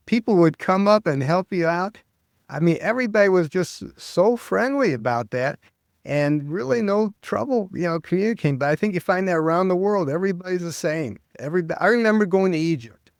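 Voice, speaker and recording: An older American man, a public speaking coach, chatting as an interview guest and telling stories with lively quoted voices. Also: high voice, fairly quick, vocal fry, no room sound, clean studio sound. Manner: animated storyteller, acts out quoted voices. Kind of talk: casual interview chat.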